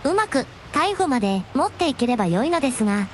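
A woman playing a young dragon princess in a radio drama, devoted and gentle, with refined, deferential, ladylike speech. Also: sits high, sing-song, quick pace, slight background noise. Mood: relieved, gentle. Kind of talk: Japanese radio drama acting.

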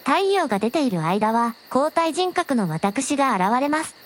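A woman playing a gentle, very polite dragon princess with a softly teasing manner in a scripted audio drama. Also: sits high, big pitch swings, measured pace, full character voice. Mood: sweet, composed. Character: gentle polite dragon princess, a shrine maiden's alternate self.

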